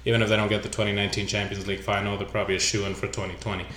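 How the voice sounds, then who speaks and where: A man hosts a loose sports chat show, reading out news with offhand comments. His tone is bright and a bit sharp. The room is echoey, with a faint hiss behind him. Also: lowish voice, level tone, very fast. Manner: reads news items aloud with loose asides. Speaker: podcast host. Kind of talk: casual sports podcast chat.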